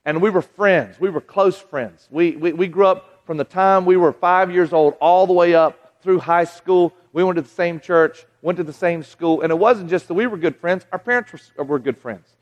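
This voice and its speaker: A Southern American man in his forties preaches in a folksy, conversational way, stopping and restarting his phrases and talking right to the crowd. His voice sits a little high for a man. A long reverb from a large hall trails behind him. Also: moderate pace, hardly any pauses, lo-fi sound. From Southern United States. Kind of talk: sermon to a live audience.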